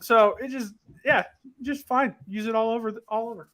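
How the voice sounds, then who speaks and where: A man hosting a casual online group chat, excitable and quick to swear in delight. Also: very high voice, background noise. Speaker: podcast host.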